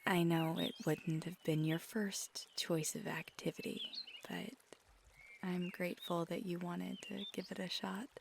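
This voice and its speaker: A woman playing a feisty, affectionate magical girlfriend in an ASMR roleplay, talking casually and often dropping to a breathy murmur. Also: lowish voice, little pitch movement, a little slow. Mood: soft, grateful. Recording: clear background noise with birdsong. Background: birdsong and outdoor ambience.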